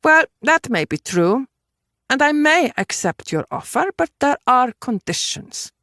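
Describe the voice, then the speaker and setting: Audiobook heroine, played by a woman: dangerous and self-possessed, cool, assertive delivery with wide pitch movement. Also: very animated, measured pace, a bit bright, dry recording, clean studio sound, exaggerated voice. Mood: firm.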